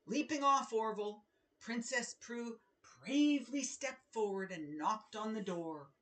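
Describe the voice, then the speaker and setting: Slow, higher-voiced woman reading to children. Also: a bit bright. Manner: deliberate, dramatic storytelling to children. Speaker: children's author reading own book aloud. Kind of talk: expressive picture-book read-aloud.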